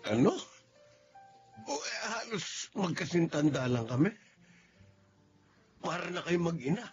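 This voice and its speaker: A man's voice: a little high, big pitch swings, unhurried, slightly rough, heavily acted. An actor playing a curious, blunt middle-aged father questioning his son in a radio drama. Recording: muffled radio-play recording with faint music.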